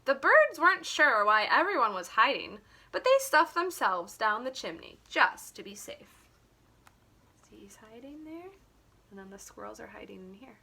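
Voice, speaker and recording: A woman reading a children's picture book aloud like a teacher, in an expressive, deliberate way. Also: fairly high pitch, creaky. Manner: animated, deliberate.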